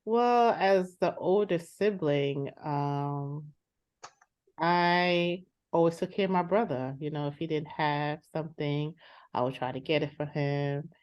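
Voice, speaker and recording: A woman playing a soft-spoken weary older sister. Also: deep voice, slow, muffled recording. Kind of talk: improvised dramatized therapy session.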